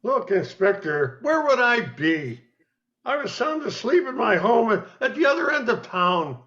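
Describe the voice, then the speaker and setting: A man's voice: very high voice, sing-song, unhurried, a bit bright, lo-fi sound, full character voice. A man playing a jittery, hapless suspect who is constantly on edge in a comic noir radio play. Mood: defensive.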